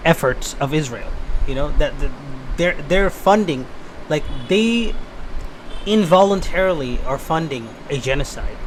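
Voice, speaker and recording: A man from Bangladesh giving casual, halting commentary as a channel host, repeating himself with many fillers. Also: on the high side, a little hiss or hum, rough recording. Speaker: channel host reacting to clips.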